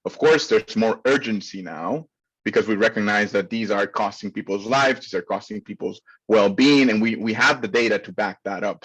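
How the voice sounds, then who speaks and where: A man's voice: on the low side, a bit bright, slightly rough. A man speaking on a panel, arguing firmly and persuasively. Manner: firm, persuasive. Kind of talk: panel discussion. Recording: dull, lo-fi audio.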